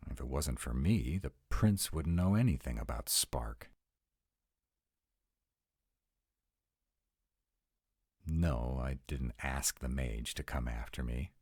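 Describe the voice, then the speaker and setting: A man's voice: extremely low pitch, darkish tone, husky, no echo, clean studio sound, full character voice. A man playing a sarcastic, anxious vampire of nearly two hundred in an intimate, conversational roleplay audio. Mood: defensive. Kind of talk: intimate one-sided roleplay audio acting.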